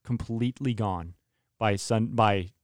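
A man's voice: fairly low pitch, measured pace, no room sound. A young man and worship leader telling a story in casual podcast chat, earnest and often restarting his sentences.

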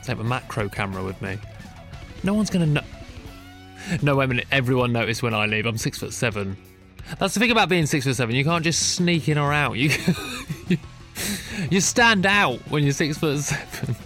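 A man's voice: medium pitch, lively intonation, normal speed, pauses often, a bit bright, faint music behind. A young British man hosting a nature podcast in a chatty, self-mocking way, laughing at his own slips. He sounds bunged up from a cold. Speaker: podcast host. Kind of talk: casual chat.

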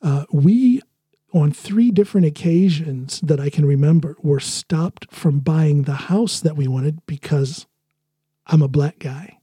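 A man's voice: on the high side, measured pace, mellow, breathy edge, dry recording. An American man hosting a podcast, talking casually and telling personal stories. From United States. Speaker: podcast host. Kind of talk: casual conversation, personal storytelling.

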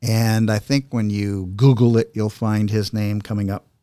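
A man hosting a podcast in a chatty, halting, explanatory way, sometimes reading verse aloud. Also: fairly low pitch, little pitch movement, dark tone, no room sound, clean studio sound. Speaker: podcast host. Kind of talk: casual podcast talk and poem reading.

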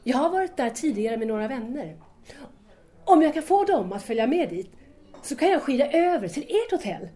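Woman playing an eager, high-voiced teenage girl. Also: very animated, warm tone. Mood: eager, earnest. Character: eager, polite eighteen-year-old girl, infatuated student. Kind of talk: audio drama dialogue.

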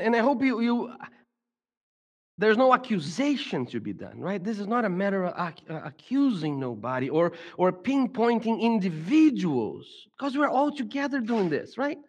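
A middle-aged man with a Brazilian Portuguese accent talks reflectively to a church audience. His voice rides slightly high, and he keeps restarting and doubling words as he searches for the thought. The sound carries a long hall reverb. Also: slightly rough. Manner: halting, many repeated words and restarts. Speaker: speaker at a church gathering. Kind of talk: reflective talk to a congregation.

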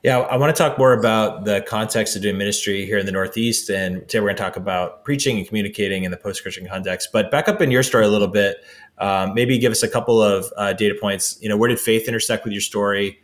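A man's voice: fairly low pitch, fairly even intonation, fast talker. A man hosting a podcast, friendly and upbeat, introducing and questioning his guest with a few uhs and ums. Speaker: podcast host.

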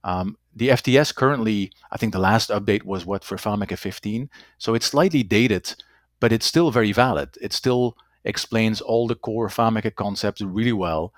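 Low-voiced man, halting speech. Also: no room sound, studio quality. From Belgium. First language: Dutch. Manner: halting, repeats words, tags sentences with "right?". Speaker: company executive guest. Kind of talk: conversational interview answers.

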